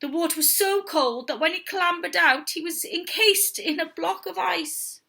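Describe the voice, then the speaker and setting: High, bright woman reading a children's story. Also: moderate pace, gravelly. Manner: theatrical, with whispers and sound effects. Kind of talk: expressive read-aloud storytelling for young children.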